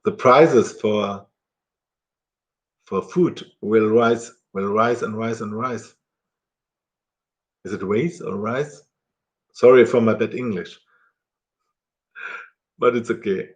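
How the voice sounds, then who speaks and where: A middle-aged German man talking casually to camera in halting, self-correcting English with a German accent. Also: fairly low pitch.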